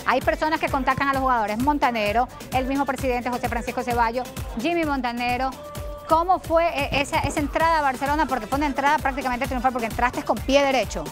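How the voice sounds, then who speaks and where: A woman's voice: high voice, fast. A woman in her thirties with an Ecuadorian accent, hosting a cooking segment in a TV studio kitchen. She chats and teases her guest. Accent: Ecuadorian Spanish. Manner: chatty and teasing, exclaims. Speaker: fitness cooking host. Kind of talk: lively TV cooking chat. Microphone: lapel. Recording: TV studio with music bed.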